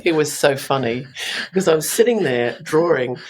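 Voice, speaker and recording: A woman in her fifties, a guest telling a candid story in an interview, with a croaky voice and frequent laughs. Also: deep voice, animated, moderate pace, a little mellow, low-quality recording. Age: 50s. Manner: laughs often, acts out her own thoughts in quotes. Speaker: podcast guest, artist.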